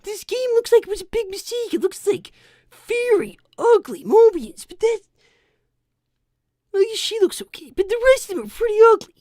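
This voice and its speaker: A woman's voice: very high-pitched, very animated, normal speed, a little mellow, no echo, studio quality. A woman voicing an anxious, earnest young boy fox who stammers defensively on a character livestream. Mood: flustered, defensive. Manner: stammering, flustered. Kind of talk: improvised character livestream with donation reading.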